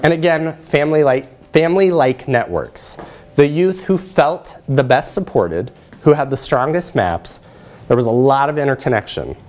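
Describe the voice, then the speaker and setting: A man's voice: medium pitch, animated, normal speed, a little mellow. A middle-aged man lecturing in a conversational way as he presents research and takes questions. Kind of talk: conversational academic talk with questions. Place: lecture room, indoors.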